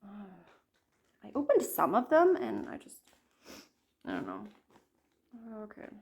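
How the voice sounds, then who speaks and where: A woman in her thirties chatting casually about her cross-stitch projects, her voice a little croaky from a cold, rambling and apologizing often. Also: medium pitch, huge pitch swings, measured pace, darkish tone. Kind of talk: casual chat to camera.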